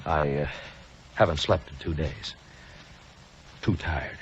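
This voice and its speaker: An actor playing a proud, exhausted senior surgeon in an old radio drama. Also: male voice, rumbling low, slow, slight background noise. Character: older male senior surgeon, proud and worn out. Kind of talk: 1940s radio acting. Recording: dull old radio recording with music.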